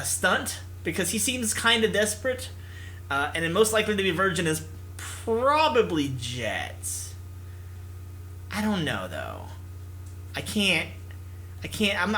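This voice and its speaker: A young-sounding gamer talking casually through a visual novel playthrough in a halting, self-interrupting way. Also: mid-range voice, bright, forceful tone. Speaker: gaming video creator. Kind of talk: casual let's-play, reading a visual novel aloud.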